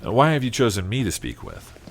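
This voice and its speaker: Farmer husband for radio play, male actor: lowish, very lively intonation, folksy, cautious, faint background noise. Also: fairly quick, creaky, exaggerated voice. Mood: surprised, hesitant. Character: plain-spoken, cautious farmer husband and father. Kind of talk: audio drama acting.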